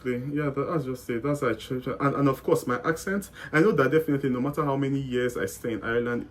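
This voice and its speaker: A man's voice: mid-range voice, fast. A young man with a Nigerian accent answering interview questions in a casual, rambling way, often repeating words and correcting himself mid-sentence. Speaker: guest.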